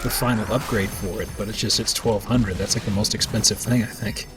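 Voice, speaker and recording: A man's voice: fairly low pitch, steady tone, brisk. Man casually commentating over game music. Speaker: let's player. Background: video game music.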